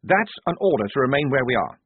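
A man voicing a pompous, fussy young army lieutenant with a prim, self-important, high-strung manner. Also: big pitch swings, fast talker, breathy edge, no room sound. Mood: fussy, commanding. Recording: tinny phone-like dry sound.

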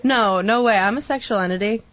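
A woman's voice: mid-range voice, fairly quick, a bit bright, highs cut off. A woman guesting on a radio show, joking and laughing easily in casual talk.

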